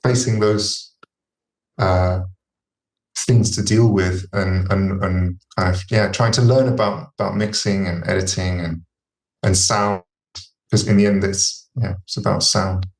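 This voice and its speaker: A man's voice: low-pitched, fairly even intonation, a little slow. Hesitant man in an echoey room. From UK and Chile. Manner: hesitant, many fillers and restarts. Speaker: musician, workshop participant. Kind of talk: casual conversation.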